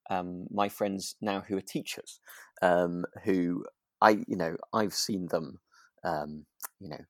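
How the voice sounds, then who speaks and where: A man's voice: sits low, fairly even intonation, slow pace, dry recording. A British man co-hosting a podcast in casual chat, rambling and good-humoured, with hesitant phrasing. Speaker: podcast host.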